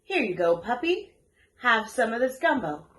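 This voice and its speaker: Woman voicing a high-pitched playful cook. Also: sing-song, normal speed, fairly bright tone, distant mic. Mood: excited, welcoming. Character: friendly restaurant cook, welcoming and playful. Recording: echoey room.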